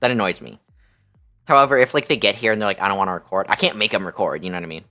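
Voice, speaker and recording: A man's voice: lowish voice, expressive, rapid-fire, bright, forceful tone. A man chatting casually on a livestream in a sassy, campy, slangy way peppered with swearing. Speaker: live streamer.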